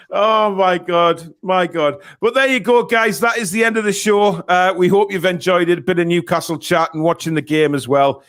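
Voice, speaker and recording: A man with a Geordie accent hosting a live football watchalong, reacting loudly and reading viewer comments aloud. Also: high-pitched, moderate pace. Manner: excitable, laughs often, reads chat aloud. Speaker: host. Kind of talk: casual live watchalong chat.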